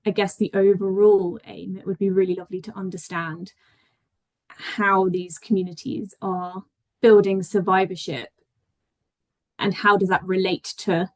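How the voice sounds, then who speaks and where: British-sounding woman presenting her research. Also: medium pitch. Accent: British English. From Spain. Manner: thoughtful, with frequent fillers and self-corrections. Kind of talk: conference talk.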